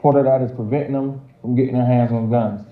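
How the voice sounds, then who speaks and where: Persuasive male narrator over soft music. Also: mid-range voice, steady tone, fast talker. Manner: firm, persuasive announcer delivery. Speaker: narrator of a public safety video. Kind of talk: scripted narration. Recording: dull, roomy video played over speakers with music.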